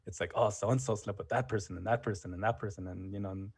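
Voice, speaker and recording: A history teacher talking casually as an interview guest, full of "you know" and "um" fillers. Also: male voice, on the low side, steady tone, fast talker, husky. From United States. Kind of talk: casual conversation.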